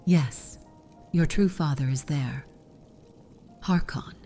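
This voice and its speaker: Very deep, hushed vampire mother played by a woman. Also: breathy edge, soft background music, exaggerated voice. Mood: grave confiding. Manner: grave, measured, formal. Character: solemn vampire mother confessing her past to her daughter. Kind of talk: scripted fantasy roleplay dialogue.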